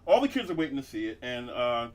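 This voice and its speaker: A man's voice: medium pitch, very animated, fast, poor audio. A man hosting a casual comedy podcast, rambling with many fillers and restarts and laughing at his own jokes. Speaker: podcast host.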